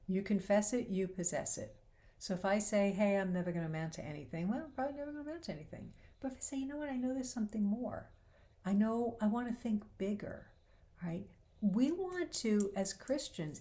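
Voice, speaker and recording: A woman's voice: mid-range voice, warm tone. A woman hosting a faith-based talk show, speaking earnestly and encouragingly, her voice a little worn. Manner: earnest and encouraging, quoting scripture. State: slightly losing her voice.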